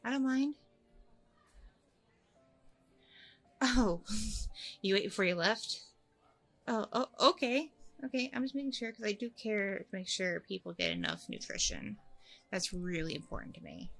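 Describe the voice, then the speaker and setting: A woman acting out a chatty, apologetic blind date in a casual audio roleplay. Her mid-pitched voice has a creaky edge, and she rambles hesitantly, with faint music behind her. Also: a bit bright. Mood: caring, chatty. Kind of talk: ASMR audio roleplay, casual conversational chat.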